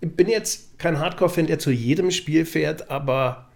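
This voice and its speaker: A man with a Berlin accent talks as a guest in a casual chat. His voice is fairly high for a man, with plenty of creak. He reminisces in loose, colloquial speech. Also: moderate pace, darkish tone. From Berlin, Germany. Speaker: podcast guest.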